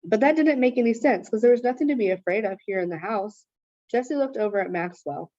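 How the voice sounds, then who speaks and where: A woman's voice: fairly high pitch, lively intonation, fairly quick, dark tone, dry recording, highs cut off. A woman reading a children's story aloud as narrator.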